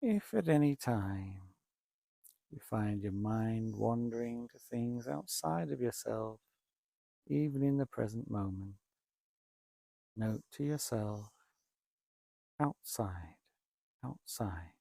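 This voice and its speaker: A man leading a guided meditation, speaking calmly and deliberately in short phrases with long pauses between them. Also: mid-range voice, gravelly, no echo. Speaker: meditation teacher, Buddhist monk.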